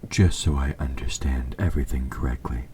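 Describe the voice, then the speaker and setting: A man playing an evil king in an audio roleplay, speaking to the listener in a formal, measured, politely sinister way. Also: extremely low pitch, dark tone. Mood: earnest, persuasive. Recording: faint background noise.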